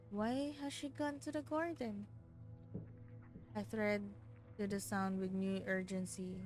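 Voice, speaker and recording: A woman streaming a game, reading its text aloud with stumbles and breaking off to exclaim in reaction. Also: mid-range voice. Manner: exclamatory, stumbles over read words. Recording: game music behind the voice. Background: soft game music.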